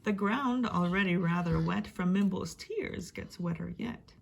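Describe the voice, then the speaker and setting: A woman storyteller giving a dramatic reading of a rhyming picture book, expressive, with hushed and emphatic passages. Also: on the low side, unhurried, gravelly. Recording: faint background noise.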